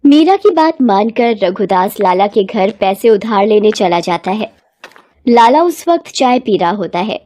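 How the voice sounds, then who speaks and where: A woman's voice: mid-range voice, expressive, measured pace, warm tone. A woman narrating a scripted moral bedtime story as its storyteller.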